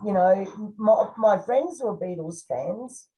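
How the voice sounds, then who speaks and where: A woman's voice: mid-range voice, animated, slow pace, warm tone, poor audio. An older woman, chatting casually and reflectively as a podcast co-host, trailing off at times. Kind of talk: casual conversation.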